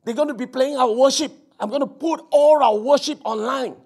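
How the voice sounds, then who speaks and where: A middle-aged man with a Singaporean English accent, preaching and praying fervently, his voice hoarse from preaching. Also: very high-pitched, fairly quick, a little mellow. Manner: fervent, repetitive, peppered with "Amen". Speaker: pastor. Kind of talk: sermon with prayer. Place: church service.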